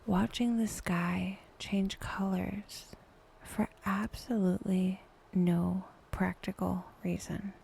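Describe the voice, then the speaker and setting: A woman leads a guided meditation very slowly, her soft voice mellow and often slipping toward a whisper. There is a creaky fry in her tone. She leaves long pauses between short phrases, over faint ambient noise. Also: mid-range voice, little pitch movement. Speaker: meditation guide, podcast host. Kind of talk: guided meditation, soft slow scripted narration.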